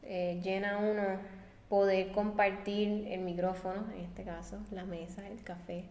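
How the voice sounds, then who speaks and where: A woman's voice: mid-range voice, little pitch movement, measured pace, darkish tone. A woman, a designer being interviewed, answering thoughtfully and searching for her words while seated at a table. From Puerto Rico. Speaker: guest designer. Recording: roomy echo with long reverb.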